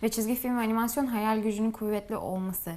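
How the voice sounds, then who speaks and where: A woman's voice: on the high side, fairly even intonation, fast talker, darkish tone. A woman hosting a broadcast interview in a conversational, deferential and agreeable way. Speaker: programme host, interviewer.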